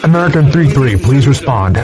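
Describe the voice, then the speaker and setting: A man's voice: medium pitch, lively intonation, a little fast, a crowd in the background, rough recording. A man playing a firm air traffic controller in an audio drama, speaking formally over a radio. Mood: firm, urgent. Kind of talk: formal radio procedure acting.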